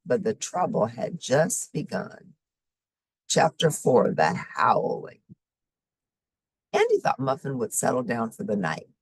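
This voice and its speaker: Female storybook narrator, low husky voice, expressive delivery with big pitch swings. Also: no room sound. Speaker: storybook reader.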